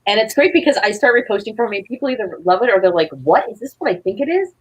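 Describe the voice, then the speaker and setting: A woman's voice: medium pitch, expressive, fast talker, husky. A woman guest chatting casually in an informal, gushing, rambling way. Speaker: guest, playwright and audio drama creator. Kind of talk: casual chat.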